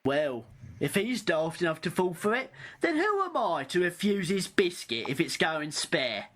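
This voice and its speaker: A woman's voice: fairly low pitch, sing-song, measured pace. A woman playing a cheeky, hungry, argumentative train passenger in an amateur radio drama, mocking and grumbling. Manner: sarcastic, argumentative.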